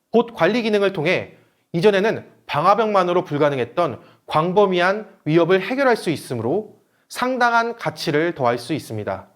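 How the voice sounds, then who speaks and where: A man giving a scripted webinar presentation in a formal, explanatory manner. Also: sits high. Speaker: presenter, company manager.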